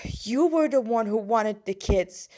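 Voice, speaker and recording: A woman's voice: a little high, animated, measured pace, a little mellow. A woman voicing a worried, weary male boss and adoptive father in a story read aloud, fretting to himself. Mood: despairing. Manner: fretful inner monologue.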